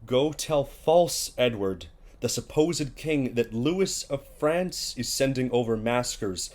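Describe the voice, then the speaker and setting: A man's voice: medium pitch, lively intonation, slow, a little mellow. A man acting a dutiful royal messenger who reports formally and carefully in a Shakespearean audio drama. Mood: formal, reporting.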